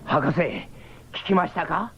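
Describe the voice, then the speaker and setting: A woman playing a cocky, comic young shapeshifting cyborg with a cheeky, excitable, theatrical delivery in a 1970s radio drama. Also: on the low side, a little slow, loud music behind the voice, natural voice. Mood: dejected. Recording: dull 1979 radio broadcast.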